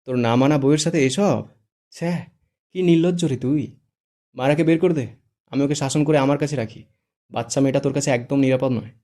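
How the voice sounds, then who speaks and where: A man's voice: mid-range voice, little pitch movement, a little fast, warm tone, close to an everyday voice. A man voicing a cheeky, dramatic young man who mocks his friend in a raised, theatrical voice. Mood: teasing.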